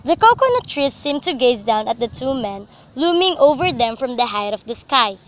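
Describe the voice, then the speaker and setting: Bright, high-voiced young woman narrating. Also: very animated, normal speed. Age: young adult. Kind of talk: scripted dramatic story narration. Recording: faintly noisy amateur recording.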